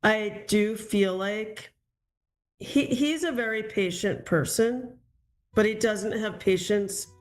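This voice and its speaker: Slow-speaking woman giving a psychic reading. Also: medium pitch. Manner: slow, reassuring, searching for words. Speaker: psychic reader. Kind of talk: live psychic reading for a caller.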